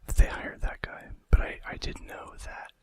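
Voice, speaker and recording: A man whispering breathily and gently into a close microphone as an ASMR host, reading out facts with casual, hesitant asides. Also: normal speed.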